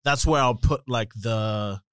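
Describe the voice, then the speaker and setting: A man's voice: fairly low pitch, slow, clean tone, no room sound, studio quality. A man co-hosting a podcast in casual chat, rambling, swearing and laughing often. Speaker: podcast co-host. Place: podcast studio.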